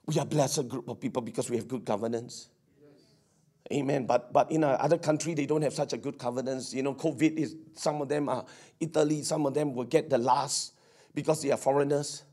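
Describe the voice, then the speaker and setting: A middle-aged man with a Singaporean English accent, preaching and praying fervently, his voice hoarse from preaching. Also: mid-range voice, brisk, warm tone. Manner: fervent, repetitive, peppered with "Amen". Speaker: pastor. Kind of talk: sermon with prayer. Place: church service.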